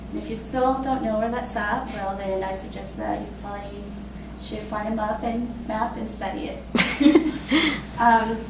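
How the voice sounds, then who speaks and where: A woman in her twenties from Costa Rica, telling her own story in a casual, halting way with many fillers and laughs. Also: fairly high pitch, heavy reverb, recorded from a distance, noisy background. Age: 20s. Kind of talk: personal spoken testimony.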